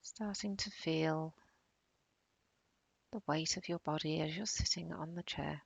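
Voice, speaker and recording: A woman guiding a body-scan meditation in a calm, soothing, unhurried way, with long pauses and soft, breathy phrase endings. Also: deep voice, no echo. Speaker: meditation guide.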